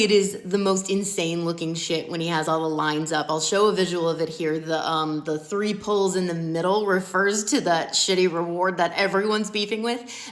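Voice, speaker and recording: A woman's voice: lowish voice, roomy sound. A woman giving a casual, blunt and sweary recap of online drama, with a wry way of talking. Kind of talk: casual commentary to camera, story recap.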